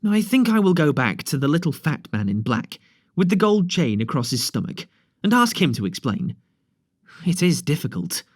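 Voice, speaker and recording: A man's voice: fairly high pitch, big pitch swings, moderate pace, no echo, clean studio sound. A man reading aloud, voicing a bewildered, earnest male angel who speaks formally and carefully. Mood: puzzled. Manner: puzzled, formal, careful speech.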